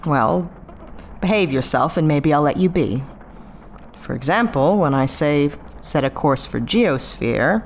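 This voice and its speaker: A woman playing a brisk, businesslike spaceship pilot in a sci-fi audio drama. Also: sits low, animated, a little hiss or hum. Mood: firm, impatient.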